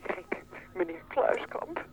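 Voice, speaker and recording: An actress playing a frightened young female supermarket employee in a Dutch radio play, crying and pleading haltingly over an intercom. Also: darkish tone, soft background music, rough recording. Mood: frightened, tearful.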